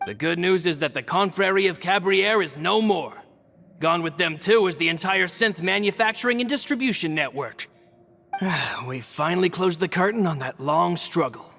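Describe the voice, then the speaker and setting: Steady crew member, bright forceful voice, calm, explanatory. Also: male voice, high-pitched, normal speed, gravelly, full character voice. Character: steady male member of a close-knit band. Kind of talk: video game voice acting. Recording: game audio with music.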